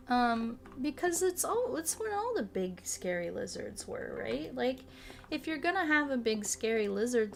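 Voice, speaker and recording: A woman's voice: a little high, vocal fry. Enthusiastic, rambling woman streamer over music. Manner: enthusiastic, animated, profane, rambling tangents. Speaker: video game streamer. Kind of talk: casual rambling stream chat. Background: background music.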